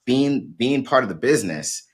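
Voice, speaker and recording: A man's voice: medium pitch, sing-song, moderate pace, husky. A man hosting an interview, asking questions in an encouraging, affirming way and often repeating his phrases. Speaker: podcast host. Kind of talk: interview conversation.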